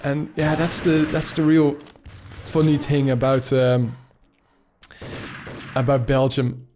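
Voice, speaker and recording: Man, dutch native speaker, esports caster, middle pitch, chatty, laughs a lot, halting with fillers. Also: completely flat delivery, darkish tone, slight background noise. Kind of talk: live game commentary.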